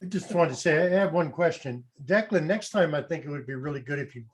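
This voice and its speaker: A man's voice: on the high side, lively intonation, quick pace. A man taking part in a workshop, offering teasing feedback with dry humour. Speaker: course participant giving feedback.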